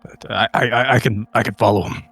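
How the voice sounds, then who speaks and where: A man's voice: lowish voice, fairly even intonation, fairly quick, a bit bright, husky, dry recording, faint music behind. A man playing a hesitant, deferential covert agent in a tabletop horror game, stammering and tentative. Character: hesitant, deferential male agent eager to tail targets.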